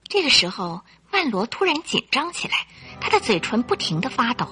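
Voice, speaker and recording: A woman narrator telling a scripted radio drama story with expressive delivery. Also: fairly high pitch, a little fast.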